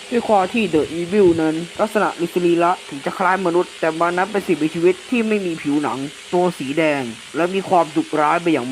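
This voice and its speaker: A man playing a solemn government announcer, formally reading a measured emergency warning for an analog horror broadcast. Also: on the high side, close to an everyday voice. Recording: clearly noisy hissing background.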